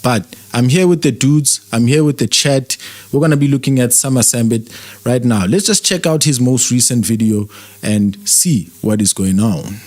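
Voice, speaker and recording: A man's voice: mid-range voice, normal speed, darkish tone, dry recording. A man hosting a livestream, rambling and sarcastic as he reacts to a video with his chat. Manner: rambling, sarcastic, loosely paced. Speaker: livestream host.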